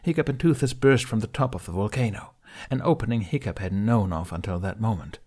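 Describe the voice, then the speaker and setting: A man with a fairly low voice narrates an adventure audiobook. The delivery is scripted and dramatic, in the manner of a storyteller. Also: brisk, a little mellow.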